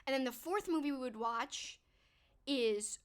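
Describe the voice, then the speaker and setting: A woman's voice: sits high, lively intonation, measured pace. A young woman streamer chatting casually with her viewers, upbeat and full of "like" and "um", her voice worn and fading. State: losing her voice. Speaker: streamer answering viewer questions. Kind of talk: casual chat to a live stream.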